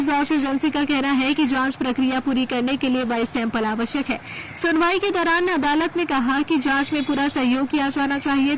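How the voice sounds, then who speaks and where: High-pitched woman reading news. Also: a little hiss or hum, rough recording. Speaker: news presenter. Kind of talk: scripted news narration.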